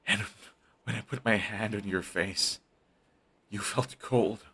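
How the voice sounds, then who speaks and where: Man doing a brooding villain, husky, very deep, intimate close-mic audio drama. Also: takes it slowly, full character voice. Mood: hushed, tender. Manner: intimate, shifts between hushed and heated. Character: brooding male villain, defensive and lovestruck. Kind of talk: audio roleplay monologue.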